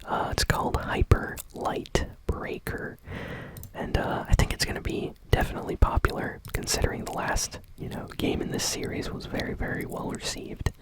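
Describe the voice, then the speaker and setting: Woman whispering gaming news asmr style, soft and breathy, casual, kinda hesitant and off the cuff. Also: normal speed. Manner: casual, hesitant, unscripted delivery.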